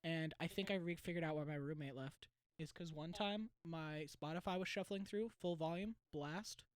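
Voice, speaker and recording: A loud young man co-hosting a casual podcast, speaking close to the mic. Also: on the high side, normal speed, smooth. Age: young adult. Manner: loud and laughing. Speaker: podcast co-host. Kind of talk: casual chat. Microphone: close podcast microphone.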